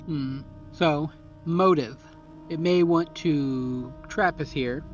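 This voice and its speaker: A man playing a tabletop roleplaying game, casually deliberating with halting phrases. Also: fairly high pitch. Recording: dull audio, faint music behind.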